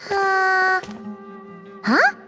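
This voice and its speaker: Tiny squeaky fairy sidekick for a game, super swoopy, kinda drawn out. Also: female voice, expressive, very slow, bright, forceful tone, soft background music, full character voice. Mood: puzzled, weary. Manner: talks of self in third person. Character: small childlike floating fairy companion, chirpy and talkative. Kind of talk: video game voice acting.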